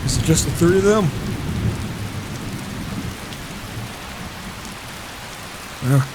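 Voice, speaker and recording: A man's voice: a little high, fast, noisy background, natural voice. A man roleplaying a reclusive, observant warrior in a fantasy game, musing to himself in muttered asides over a flawed home mic. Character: reclusive male warrior, wry, observant wanderer. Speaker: player roleplaying a character in a game.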